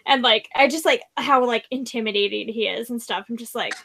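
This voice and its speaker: Higher-voiced woman, casual and opinionated. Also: brisk, gravelly, fairly bright tone. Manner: frequent "like", opinionated. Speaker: discussion host. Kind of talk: casual chat about books.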